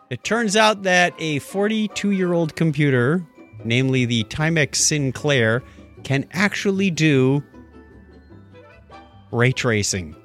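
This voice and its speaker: A middle-aged or older man hosting a casual tech talk show, chatting conversationally and thinking out loud as he goes. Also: a little high. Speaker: podcast host.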